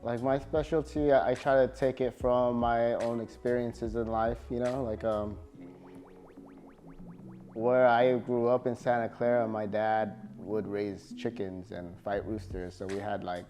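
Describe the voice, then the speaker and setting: A man from California, a tattoo artist, talking casually in an interview with a relaxed, halting manner full of fillers. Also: medium pitch, faint music behind.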